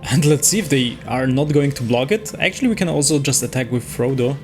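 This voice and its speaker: A man's voice: medium pitch, fairly quick. A man giving casual gameplay commentary on a card game, thinking aloud as he weighs his moves a little hesitantly. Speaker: host playing and commenting on a digital card game. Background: music.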